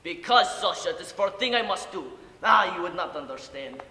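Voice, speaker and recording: A man performing a dramatic monologue as an old, boozy, guilt-ridden Ukrainian grandfather, speaking halting broken English. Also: sits high, animated, pushed and bright, exaggerated voice. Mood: boozy, wheedling. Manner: halting broken English with sighs and murmured asides. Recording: echoey hall, distant, audible room noise.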